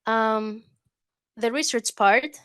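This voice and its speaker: A woman's voice: fairly high pitch, slow pace, a bit bright, clear, resonant tone, dry recording, studio quality. Greek-accented woman giving a talk. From Greece. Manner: conversational, with fillers and restarts. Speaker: conference speaker. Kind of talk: conference talk. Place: conference hall. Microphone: handheld or lectern mic.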